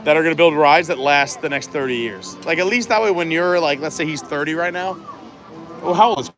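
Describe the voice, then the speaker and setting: A man's voice: fairly high pitch, fairly quick. A man with a New York accent hosting a casual theme park livestream, chatty and laughing often. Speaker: livestream host. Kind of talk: casual chat on a live walking stream. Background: loud music.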